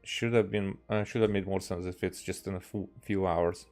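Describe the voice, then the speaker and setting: Low-voiced Hungarian man, halting game commentary. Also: fairly even intonation, a little mellow, faint music behind. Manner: halting, musing aloud. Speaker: game streamer. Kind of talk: casual gameplay commentary.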